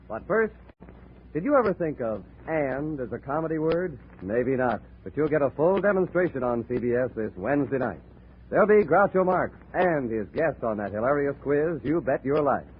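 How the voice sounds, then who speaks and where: A man working as a 1940s network radio announcer, reading scripted promotions in a polished manner. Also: medium pitch. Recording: noisy, tinny 1940s radio recording.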